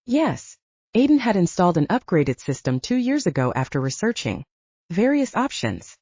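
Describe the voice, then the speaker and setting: A woman's voice: lowish voice, very animated, moderate pace, darkish tone, no room sound. A woman reading scripted fiction as an audiobook narrator, in a slightly dull recording.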